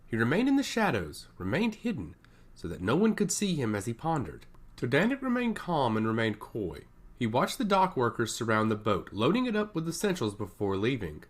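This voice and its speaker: Storyteller with a highly animated delivery. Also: moderate pace. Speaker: narrator reading a fantasy story. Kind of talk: scripted narration of fantasy fiction with dialogue.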